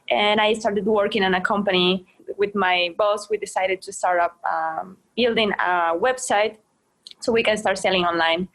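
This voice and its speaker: A woman's voice: mid-range voice, rough recording. Hesitant Ecuadorian woman guest. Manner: hesitant, many fillers and restarts, phrasing of a second-language speaker. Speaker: guest, art marketer. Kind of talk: casual interview answers.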